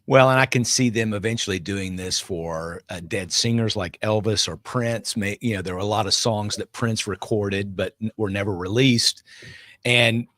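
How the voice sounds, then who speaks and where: A man with a low voice talks on a panel podcast through a dry, close microphone. He hedges and fills pauses a lot as he builds his points. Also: fairly bright tone, no room sound. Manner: hesitant, many fillers. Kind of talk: casual panel chat.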